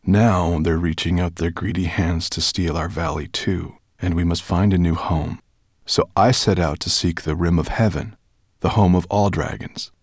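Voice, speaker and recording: A man voicing a calm, noble male dragon in a measured, earnest manner in an audiobook. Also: low-pitched, dry recording, studio quality.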